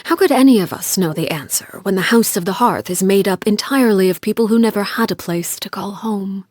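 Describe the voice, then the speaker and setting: A woman playing a cold, composed female game character, speaking softly and formally in measured phrases with quiet menace. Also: mid-range voice, no echo, studio quality, lightly acted. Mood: reflective, cool. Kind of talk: scripted video game character lines.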